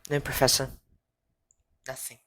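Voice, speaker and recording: A woman's voice: measured pace, natural voice. A woman as a troubled, hesitant young schoolboy. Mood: subdued. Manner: hesitant, self-doubting. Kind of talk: audio drama acting.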